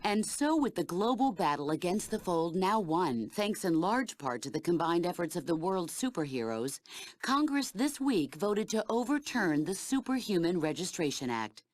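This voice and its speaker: A woman's voice: fairly low pitch, big pitch swings, normal speed, no echo. An actress playing a female news anchor in a game, reading a formal, polished report. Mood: formal, upbeat.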